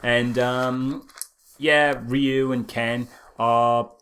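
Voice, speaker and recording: A man's voice: mid-range voice, little pitch movement, drawn-out words, a bit bright, a little hiss or hum. A man co-hosting a casual comedic film discussion, rambling and sarcastic, slipping into mock quotes. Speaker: podcast co-host reviewing films.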